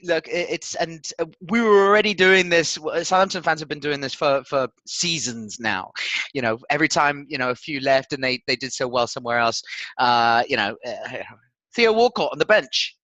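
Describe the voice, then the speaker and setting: Male co-host, casual football banter, higher animated voice, halting repeats, dry recording. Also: no room sound. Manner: hesitant, repeats words while thinking aloud.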